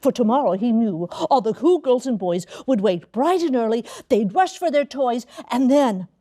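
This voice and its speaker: A woman reading a children's storybook aloud to schoolchildren in a theatrical, expressive manner, stretching key words. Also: a little high, moderate pace. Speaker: storybook reader, college dean. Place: elementary school classroom.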